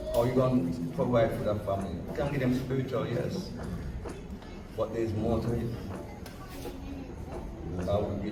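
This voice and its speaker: A man, a Rastafarian priest, explaining in a measured, deliberate way. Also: mid-range voice. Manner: measured, explanatory, talks things through deliberately. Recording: echoey, distant mic with noise.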